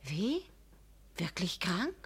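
An actress playing a proud, tender-hearted young woman in a literary radio drama, earnest and emotional, moving between hushed and vehement. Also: on the low side, very animated, extremely slow, slightly rough, full character voice. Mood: shocked, reproachful. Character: young Charlotte Löwensköld, proud, impassioned, tender-hearted jilted fiancée.

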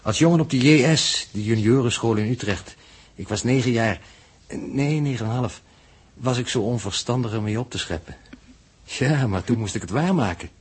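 An actor playing a coolly fatalistic, learned mathematician aboard a spaceship, lecturing in formal, pedantic phrases in a 1960s Dutch radio drama. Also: male voice, mid-range voice, normal speed, slightly rough, natural voice. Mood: enthusiastic lecturing.

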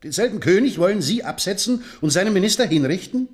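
A man's voice: high voice, lively intonation, fairly quick. A man playing a sly, mockingly deferential courtier with an ironic, rhetorical manner in a German radio play. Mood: emphatic, mocking.

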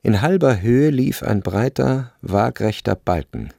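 A man's voice: mid-range voice, expressive, a little slow, creaky, warm tone, no room sound, clean studio sound. A man narrating a literary story as part of a radio play.

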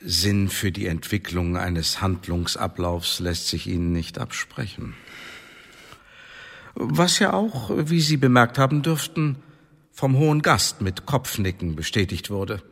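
Wry Elizabethan interrogator, lively intonation. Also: male voice, fairly low pitch, unhurried, vocal fry, heavily acted. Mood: measured, official. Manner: dry, formal, probing. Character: wry, formal Elizabethan secret service interrogator, sceptical and measured. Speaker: actor in a radio drama. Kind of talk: German radio drama acting. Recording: long reverberant hall.

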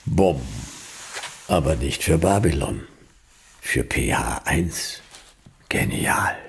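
An actor playing a laconic, dryly ironic private detective in a radio drama, terse and deadpan. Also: male voice, takes it slowly, slight background noise, heavily acted. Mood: deadpan, faltering. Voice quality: deep, gravelly. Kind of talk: noir radio drama narration and dialogue.

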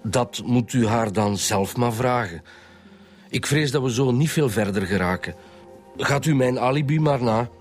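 An actor with a Flemish accent playing a guarded schoolteacher, formal, precise and curt, in a radio drama. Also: male voice, mid-range voice, unhurried, close to an everyday voice. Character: stiff, guarded male schoolteacher under police questioning. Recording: faint background music.

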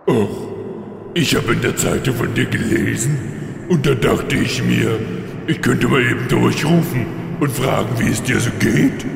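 A man's voice: mid-range voice, a little slow, creaky. An actor playing a smug undead villain king in a parody fantasy audio drama, drawling and taunting with feigned innocence. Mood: mock-friendly taunting. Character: smug undead Lich King, teasing, mock-friendly villain. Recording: very echoey reverberant hall, faint noise.

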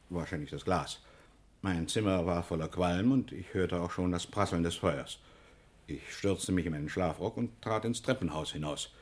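Low, husky, courteous pharmacy owner. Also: male voice. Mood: animated, recounting. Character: courteous male pharmacy owner, shaken but protesting. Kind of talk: 1960s German radio crime drama acting.

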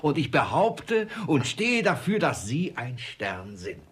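An actor playing a miserly, irascible old father who courts a young woman with unctuous flattery in a classic comedy radio play. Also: medium pitch, takes it slowly. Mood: fawning.

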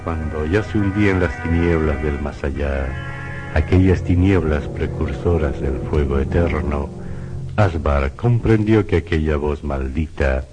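A man narrating a horror radio play in a suspenseful, sinister, theatrical way. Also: a bass voice. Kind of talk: dramatic scripted horror narration. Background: music.

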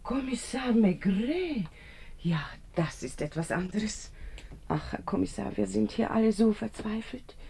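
A woman's voice: mid-range voice, animated, unhurried, creaky, mellow, a little hiss or hum. A woman playing a devoted, anxious secretary in a 1950s German radio drama, speaking politely and earnestly. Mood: worried, hopeful.

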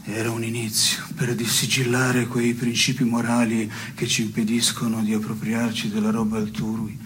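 An actor playing a wry, self-satisfied petty-theft philosopher in absurdist theatre, with a deliberate, mock-solemn delivery. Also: male voice, medium pitch, monotone, fairly bright tone, a little hiss or hum, natural voice. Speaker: stage actor. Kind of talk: scripted absurdist theatre dialogue.

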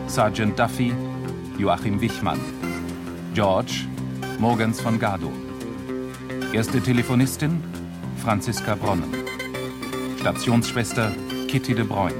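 A low-voiced man reads scripted radio drama credits as an announcer. He is formal and very unhurried, with prominent guitar music behind him. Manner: measured, formal.

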